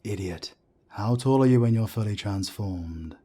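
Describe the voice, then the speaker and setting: A man performs a calm werewolf mentor for an audio drama. His voice is deep, dark and husky, with a soft breathy edge. He speaks in a measured, reassuring way, like a firm older councillor advising a friend. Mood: firm, pressing. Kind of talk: scripted roleplay audio drama.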